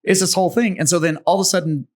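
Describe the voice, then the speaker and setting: A man from Texas co-hosting a podcast in a studio, telling stories excitedly in casual chat. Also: sits high. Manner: excited storytelling, quick laughs. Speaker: podcast co-host.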